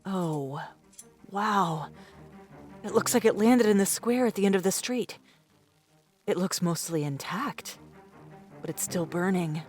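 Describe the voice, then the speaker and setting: A woman's voice: mid-range voice, animated, takes it slowly, pauses often, darkish tone, faint music behind. An actress playing a tall, bold, decisive woman survivor in an audiobook drama, with a blunt, assertive manner. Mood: curious, observing.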